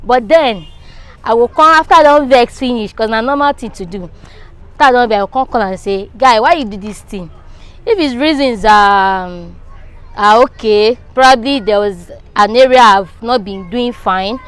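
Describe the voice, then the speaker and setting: A woman with a Nigerian accent and a fairly high voice gives her opinion in a street interview. She talks in a casual, conversational way. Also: normal speed, clean tone. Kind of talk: animated casual chat in Nigerian Pidgin.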